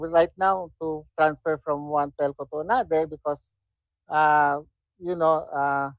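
An older man with a Filipino accent answering interview questions in a halting way, often tagging phrases with "no?". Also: on the high side, no echo. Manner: halting, frequent tag "no?". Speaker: interview guest, former government official. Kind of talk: interview answers mixing English and Tagalog. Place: indoor room, video call. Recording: dull lo-fi video call audio.

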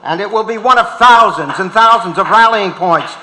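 Man playing defiant old activist, high-pitched, in a hall. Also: normal speed, sharp tone. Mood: indignant. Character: defiant old male activist on trial, indignant, principled. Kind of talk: staged reading of a court transcript. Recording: reverberant auditorium.

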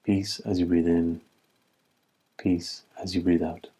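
A man leading a guided meditation as an instructor, speaking in calm, measured phrases with long pauses. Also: low-pitched, slightly rough.

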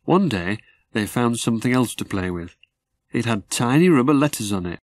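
A man reading his own children's story as a measured, scripted narration. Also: mid-range voice, animated, no echo.

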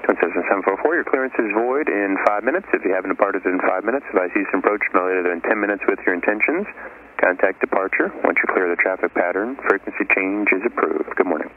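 A man's voice: deep voice, fast. A man working as an air traffic controller, giving procedural instructions over aviation radio. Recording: phone-like aviation radio, faint noise.

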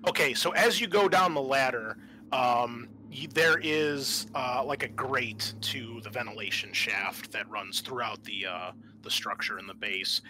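A man narrating a tabletop roleplaying game as game master, in a halting, conversational way. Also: mid-range voice, bright, forceful tone, faint music behind, poor audio. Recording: faint background noise.

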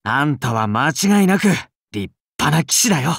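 A man's voice: a little high, very animated, normal speed, fairly bright tone, no room sound, clean studio sound, full character voice. An actor playing a friendly young paladin king in a game, casual and bantering like a cool older brother. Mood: breezy, friendly. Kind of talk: scripted game character dialogue.

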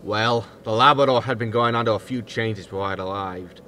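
A man's voice: on the low side, brisk, background noise, natural voice. A man playing a defensive, exasperated disgraced spaceship captain, indignant and grumbling, in a sci-fi comedy audio drama. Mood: grumbling, resigned.

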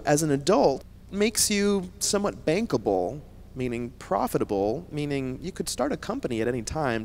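A man's voice: a little high, darkish tone. A man in his thirties giving an emphatic motivational talk to students on stage into a handheld microphone, often checking in with the audience. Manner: emphatic, addresses audience with frequent "right?" tags. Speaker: scientist and company founder giving a keynote talk. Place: stage at an indoor conference.

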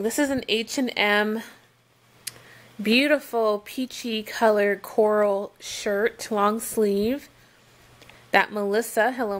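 Chatty woman gushing over baby clothes. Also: mid-range voice. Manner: chatty, gushing, frequent ums. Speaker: vlogger showing outfits. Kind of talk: casual show-and-tell.

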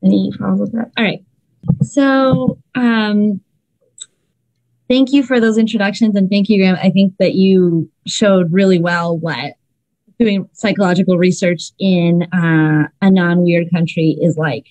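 A woman's voice: mid-range voice, steady tone, a little slow, darkish tone, dry recording, rough recording. A woman from Ecuador, a clinical psychologist, presenting casually in an online seminar with many filler sounds. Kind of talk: academic online seminar talk. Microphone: video call.

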